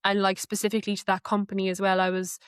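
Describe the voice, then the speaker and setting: A woman guest giving a conversational walkthrough of how she works with a software tool. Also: medium pitch, completely flat delivery, fast talker, clean tone, no room sound, studio quality. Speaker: guest, AI knowledge manager.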